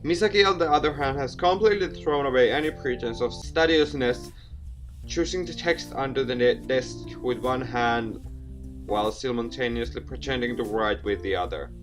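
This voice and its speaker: A man's voice: medium pitch, normal speed, over music. A young man hosting a gaming video, reading a visual novel aloud theatrically with casual asides. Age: young adult.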